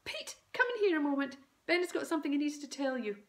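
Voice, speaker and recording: A woman voicing an excitable, fussy middle-aged mum who talks in a shrill, gushing way. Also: brisk, reverberant.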